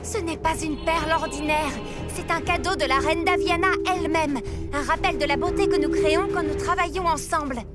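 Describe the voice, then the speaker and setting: A woman's voice: extremely high pitch, steady tone, brisk, loud music behind the voice. A woman voicing an anxious, devoted little sister in a dubbed children's cartoon, earnest and fretful. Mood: urgent.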